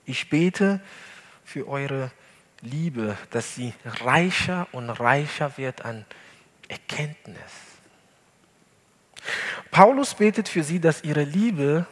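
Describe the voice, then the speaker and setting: A male pastor, not a native German speaker, preaching an earnest sermon in a church hall with deliberate emphasis. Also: on the high side, expressive, a bit bright. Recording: long hall reverb.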